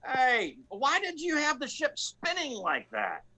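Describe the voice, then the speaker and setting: A man playing a cocky, free-spirited space pilot in a comic radio play, rambling and repeating himself in halting bursts. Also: very high voice, huge pitch swings, heavy background noise, exaggerated voice. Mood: casual, exasperated.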